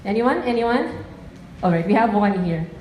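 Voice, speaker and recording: A woman's voice: medium pitch, lively intonation, moderate pace, a little mellow. A woman informally hosting a press conference and inviting questions from the floor. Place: press conference room, on microphone. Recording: echoey room with faint noise.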